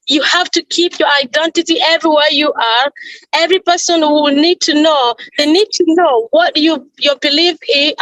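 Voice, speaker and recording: A woman with a high voice speaks at a panel event. She talks earnestly, in halting phrases, often stopping to repeat or rephrase herself. The recording is dry, with almost no sound of the room. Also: a bit bright, no room sound, low-quality recording. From Congo. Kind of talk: earnest speech at an online panel.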